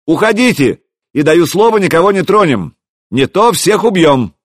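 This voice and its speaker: A man voicing a haughty older enemy prince, shouting imperiously and scornfully in an audiobook. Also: fairly high pitch, big pitch swings, moderate pace, no echo, studio quality, pitched above the performer's own voice. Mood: threatening.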